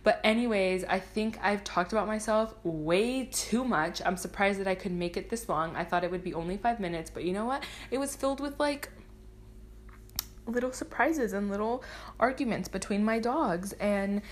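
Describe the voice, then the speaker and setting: A woman's voice: medium pitch, moderate pace. Young woman, first language Spanish, rambling solo podcaster, self-deprecating and swears freely. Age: 20s. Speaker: podcast host. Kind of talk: casual solo podcast monologue.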